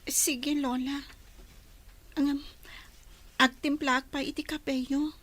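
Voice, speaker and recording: An actress as a timid young daughter in a radio drama. Her voice is very high, and she speaks politely and hesitantly, like a dutiful child. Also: flat delivery, takes it slowly, fairly bright tone. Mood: polite, curious. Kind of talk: Ilocano radio drama acting.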